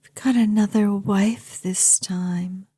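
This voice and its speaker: An obsessive vampire girlfriend, played by a woman, murmurs in a very slow, breathy voice with a mellow tone. She is intimate and sweetly menacing, slipping often into whispers, in the style of an ASMR roleplay. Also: medium pitch, fairly even intonation. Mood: casual, musing. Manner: slow, intimate, casually morbid, doting. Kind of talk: ASMR audio roleplay addressed to the listener.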